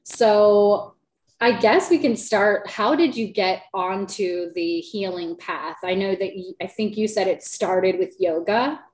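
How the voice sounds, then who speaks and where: A woman's voice: medium pitch, takes it slowly, darkish tone. A woman hosting an interview, speaking in an affirming, gushing manner. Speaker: podcast host. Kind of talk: casual conversational interview. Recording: roomy, echoey sound.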